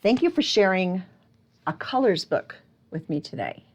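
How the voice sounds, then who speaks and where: A woman's voice: lowish voice, very animated, moderate pace. A woman hosting a picture book storytime for young children, playfully asking questions and exclaiming with wonder. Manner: playful, prompting listeners with questions. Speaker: children's librarian and storytime host. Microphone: speaking close to a camera.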